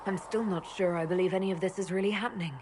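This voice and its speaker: An actress playing an earnest, resolute elven mage hero in a fantasy game, direct and composed. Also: female voice, lowish voice, fairly even intonation, quick pace, background noise, lightly acted. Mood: weary, unsure. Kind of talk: fantasy video game voice acting.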